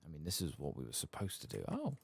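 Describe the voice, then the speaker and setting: A man with a gravelly, husky voice talking softly as he hosts an ASMR video game playthrough, at times drifting into whispers. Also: extremely low pitch, wildly swooping pitch, fast, faint music behind. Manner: soft-spoken, murmuring, drifting into whispers. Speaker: host playing a video game for an ASMR channel. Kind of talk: soft-spoken ASMR game playthrough, reading quests aloud.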